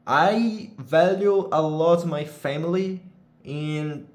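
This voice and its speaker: A man's voice: a little high, slow, echoey room. A young man from Brazil with a Brazilian accent, chatting casually in English as a second language, often restarting and searching for words. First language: Portuguese. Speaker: vlogger. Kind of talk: casual unscripted chat.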